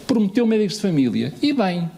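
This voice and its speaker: A man speaks to a municipal assembly in a high, lively voice. He swings his pitch widely, piling up rhetorical questions and repeated phrases with emphasis. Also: fairly quick, vocal fry, a little hiss or hum. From Lisbon, Portugal. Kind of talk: political speech in an assembly.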